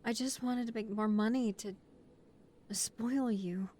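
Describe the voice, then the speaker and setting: A woman's voice: fairly high pitch, fairly even intonation, slow pace, dark tone, slight background noise. A woman playing an earnest, devoted new mafia recruit and loving partner in a romantic audio roleplay. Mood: apologetic. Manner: earnest, emotional, polite to superiors.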